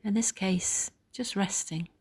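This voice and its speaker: A woman leading a guided body scan meditation in a soft, gentle, soothing way, pausing between phrases. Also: mid-range voice, husky.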